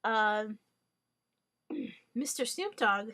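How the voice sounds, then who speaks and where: Wry, hesitant woman, slow halting delivery. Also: a little high, lively intonation, pushed and bright. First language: Hungarian. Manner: hesitant, many fillers and restarts; wry, mock-formal wording. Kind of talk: rambling monologue.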